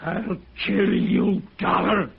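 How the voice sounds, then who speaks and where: An American actor playing a hostile small-town sheriff in a 1950s radio drama, blustering and threatening. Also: male voice, high voice, fairly even intonation, slow, creaky, noisy background, exaggerated voice. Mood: menacing. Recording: tinny narrow-band old radio recording.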